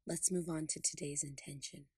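A woman with a low, breathy voice leads a guided meditation. She speaks in short, deliberate, soothing phrases. The sound is close and dry, with no room around her. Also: steady tone, mellow, no echo. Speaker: podcast host and meditation guide.

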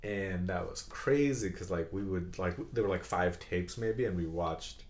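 Low-voiced man in his thirties, podcast co-host, casual and reflective; roomy echo. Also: measured pace, darkish tone. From Bangladesh and Saudi Arabia. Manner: thoughtful, restarts sentences, asks follow-up questions. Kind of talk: casual chat.

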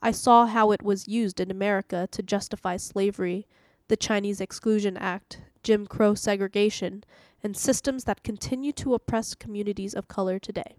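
A woman's voice: mid-range voice, steady tone, normal speed, darkish tone, no room sound. Woman reading a devotional, dry sound. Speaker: host reading daily devotionals. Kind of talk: scripted devotional narration read aloud.

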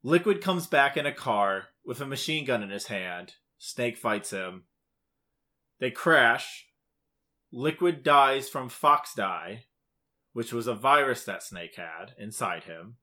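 A man's voice: medium pitch, expressive, slow, bright, forceful tone. A man co-hosting a podcast, casually recapping a game's story in emphatic, short clipped phrases. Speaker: podcast co-host. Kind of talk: casual video game plot recap.

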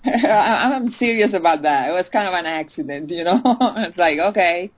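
A woman's voice: fairly low pitch, animated, moderate pace, highs cut off. A woman from Italy, chatting as an interview guest and laughing often. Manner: chatty, laughs often, many "you know"s. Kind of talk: casual interview.

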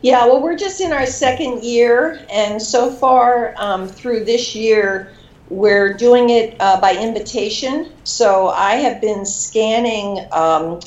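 A woman who manages an education program, speaking in a measured, slightly halting way with fillers. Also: fairly high pitch, darkish tone, roomy sound, dull audio. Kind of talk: interview answers.

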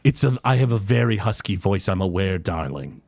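A man voicing a flirtatious female high elf innkeeper with a husky voice who calls people darling. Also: expressive, brisk, a little mellow, dry recording. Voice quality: husky, endearments like darling and honey.

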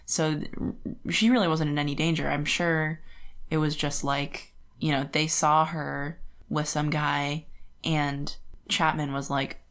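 A woman's voice: sits low, little pitch movement, a little slow, a bit bright. A woman co-hosting a podcast in a casual book chat, often paraphrasing characters in an informal, conversational way. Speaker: podcast co-host.

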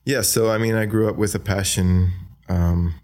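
A young man from Australia with an American accent, talking softly and hesitantly as a podcast guest, with many fillers. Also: deep voice, fairly even intonation, moderate pace. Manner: soft-spoken, hesitant, many ums and likes. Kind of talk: casual interview talk.

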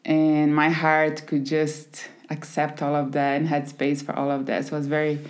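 A Brazilian woman in her thirties speaks English with a Brazilian Portuguese accent, her voice pitched low. She tells her story easily and breaks into soft laughs now and then. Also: monotone, normal speed. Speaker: podcast guest. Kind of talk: casual conversation.

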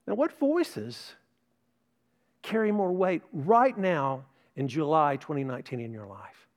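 An older male pastor delivers a sermon in a fairly high voice. He preaches earnestly and emphatically, stacking repeated words as he presses each point on his congregation. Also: big pitch swings, unhurried. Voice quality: mentions tinnitus, no vocal trait.

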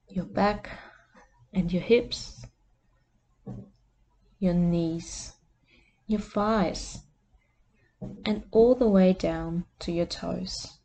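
A woman who hosts a podcast as a life coach, leading a guided meditation in a soft, soothing voice. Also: lowish voice.

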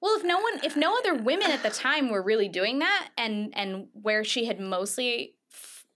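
A woman's voice: on the high side, lively intonation, normal speed, fairly bright tone. A woman co-hosting a casual podcast, reacting with enthusiasm and sarcastic quips. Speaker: podcast co-host. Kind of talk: casual chat.

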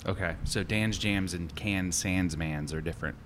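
Playful lowish-voiced man. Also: moderate pace, a bit bright, slight background noise. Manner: playful, teasing. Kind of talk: casual chat.

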